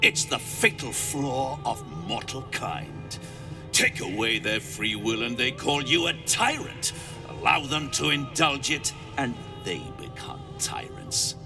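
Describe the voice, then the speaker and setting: A man's voice: medium pitch, very animated, slow pace, far from the mic. A man acting a haughty, menacing devil lord in a video game, with theatrical, grandiose delivery and precise diction. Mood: mocking. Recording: game audio with music behind.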